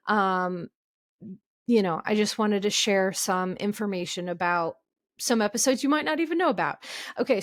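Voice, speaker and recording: A woman's voice: mid-range voice, dry recording, studio quality. A woman hosting her own podcast, talking casually and candidly, with lots of fillers and restarts. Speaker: podcast host, therapist. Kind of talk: casual solo podcast talk.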